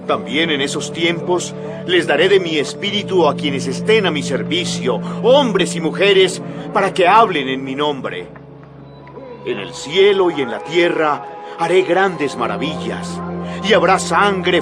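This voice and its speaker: A fervent apostle in a dramatized audio Bible. His fairly high voice swings widely as he declaims boldly and emphatically to his listeners, with music playing clearly behind him. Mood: prophetic, solemn. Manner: declamatory, emphatic public address. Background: background music.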